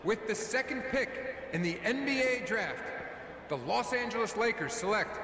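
An actor playing a formal league official who announces draft picks at a ceremony in a video game. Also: male voice, high voice, expressive, moderate pace. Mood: formal, proud. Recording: echoing arena PA, loud crowd noise.

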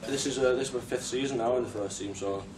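A man's voice: mid-range voice, fast. A young man in his twenties, a rugby league winger answering modestly and hesitantly in a post-match press interview. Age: 20s. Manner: modest, hesitant, many fillers. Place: small press room at a stadium. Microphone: far camera mic. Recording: echoey room from far off, noisy.

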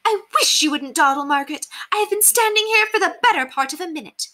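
Pompous, high-pitched lady of the manor. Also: animated, fast talker, sharp tone, exaggerated voice. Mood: impatient, haughty. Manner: pompous, affected, emphatic. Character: haughty, spoiled, dramatic young lady of the manor.

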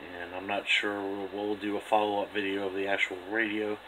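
A man with a fairly low voice talks casually through a two-way radio test. He is recorded indoors on a handheld camera, with faint noise behind him. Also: level tone, a little fast. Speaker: two-way radio operator demonstrating equipment. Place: indoor room beside a radio set.

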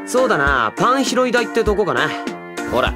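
A woman playing a rough teenage delinquent boy in an anime audio drama, talking in a blunt, coarse, slangy way. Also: medium pitch, big pitch swings, brisk, music in the background, close to an everyday voice. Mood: demanding, brash. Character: rough, gruff teenage boy delinquent, brash but casual.

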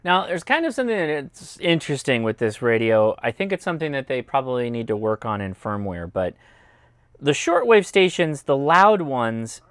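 A man's voice: mid-range voice, sing-song, moderate pace, smooth, dry recording. An American man in his thirties giving a casual, explanatory product review and demonstration. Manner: informal, explanatory. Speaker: host, radio reviewer. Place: indoors, home radio studio and workbench.

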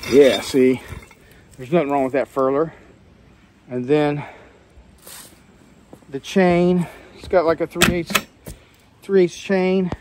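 A man's voice: fairly high pitch, steady tone, slow pace, pauses often, background noise. Plainspoken man rambling through a boatyard. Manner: plainspoken, rambling, trails off and restarts. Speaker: sailing vlogger. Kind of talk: casual walkthrough commentary. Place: outdoors in a boatyard, walking around boats. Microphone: handheld camera, selfie framing.

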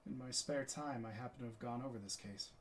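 A man's voice: mid-range voice, fast, slight background noise, natural voice. A man performing a stage monologue as a defeated, self-condemning aging scientist, in a theatrical, declamatory manner. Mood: grave, reflective. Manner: theatrical, declamatory, impassioned oratory.

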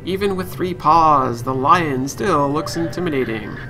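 A man streams a game with casual running commentary. His voice is a little high, and he muses aloud as he goes. Music plays clearly behind him. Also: a little slow, low-quality recording. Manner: musing aloud to himself and viewers. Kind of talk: casual live let's play commentary.

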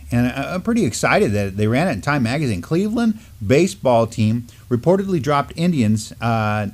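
Middle aged guy cohosting local news, medium pitch, chatty, kinda halting. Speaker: co-host of a community news show. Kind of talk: casual news discussion. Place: indoor studio desk, backdrop.

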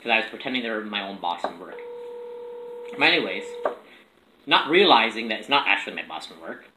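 A man's voice: on the low side, very animated, brisk. A young man chatting casually and making prank calls, recorded at a table in a room. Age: young adult. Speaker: prank caller filming himself. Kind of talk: casual chat and prank calling. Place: small room indoors at a table. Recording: echoey room with background noise.

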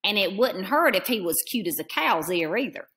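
A woman's voice: mid-range voice, animated, moderate pace, fairly bright tone. Woman reading as confident young ranch owner, sassy drawl, storybook read-aloud. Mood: determined. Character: rich pretty young Texas ranch woman.

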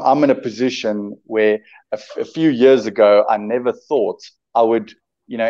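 A young man from South Africa, Afrikaans his first language, is talking on a video call from a room at home. His voice sits low and warm, and it comes through a dull, lo-fi webcam line. He talks eagerly and often restarts his phrases. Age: 20s. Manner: eager, repeats words while building his point. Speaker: guest, entrepreneur and author. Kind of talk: casual video-call interview.

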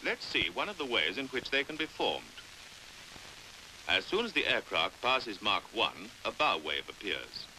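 A British man narrating a 1950s educational film in a precise, measured way, on a hissy old soundtrack. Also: on the low side, very animated.

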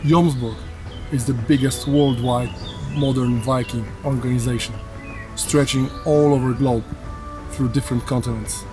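A man's voice: medium pitch, steady tone, moderate pace, music in the background, rough recording. A man who leads a warrior group, speaking earnestly in a documentary interview outdoors. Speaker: warrior group leader and author.

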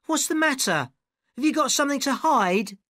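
A reader doing a shrill, accusing young woman. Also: animated, moderate pace, pushed and bright, dry recording, clean studio sound. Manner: shrill, accusing, high pitched. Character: suspicious, confrontational young woman. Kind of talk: audiobook character voice.

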